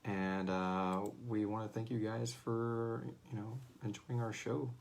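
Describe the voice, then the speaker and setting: A man's voice: on the low side, level tone, unhurried, creaky, slight background noise. A man hosting a casual livestream chat in a jokey, rambling way, sometimes muttering as he sets things up. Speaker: host and co-creator of a horror audio drama.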